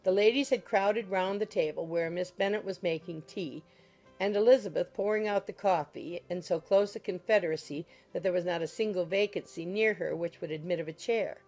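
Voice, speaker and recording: A woman's voice: lowish voice, a little fast, darkish tone, faint music behind. A woman reading scripted literary narration for a dramatized audiobook.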